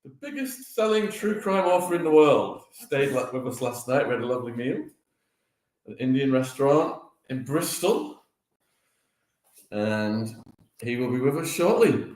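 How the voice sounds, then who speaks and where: A man's voice: medium pitch, expressive, moderate pace, a little mellow. A man hosting a casual breakfast live stream, chatting and greeting viewers by name. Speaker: livestream host. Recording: echoey room.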